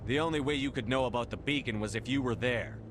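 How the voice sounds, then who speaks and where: An actor playing a resolute military commander in a video game, speaking plainly and firmly. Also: male voice, medium pitch, fast talker, background noise, lightly acted. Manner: plain, direct, firm. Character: resolute human soldier hero, male commander. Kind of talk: dramatic video game acting.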